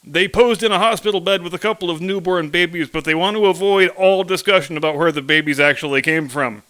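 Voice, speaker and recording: A man plays a male presidential aide in a comedy bit, deferential and patient. He explains things drily in a bright, fairly high, forceful voice. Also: fairly quick, clean tone. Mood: patient explaining.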